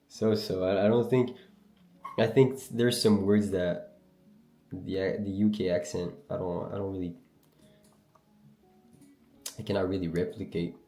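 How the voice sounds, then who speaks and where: A man's voice: deep voice, mellow, echoey room. A young man from Algeria, raised in Canada, with a French Canadian accent, casually chatting and answering viewer questions on a trading livestream. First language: Berber language. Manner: loose, slangy, reads and answers chat. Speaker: livestream trader host.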